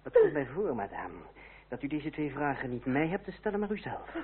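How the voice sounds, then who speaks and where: A narrow, faintly noisy old radio recording. a proud, wounded young revolutionary with a very animated pitch. He is formal, cutting and sardonic, every phrase edged with reproach. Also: a little fast. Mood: cold, bitter. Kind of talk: old radio play acting.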